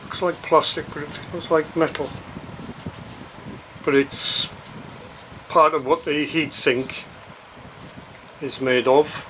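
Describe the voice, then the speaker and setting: A man giving a casual, unscripted unboxing commentary, thinking aloud in a halting, hesitant way as he reviews a product. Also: on the high side, fairly even intonation, sharp tone, a little hiss or hum, poor audio.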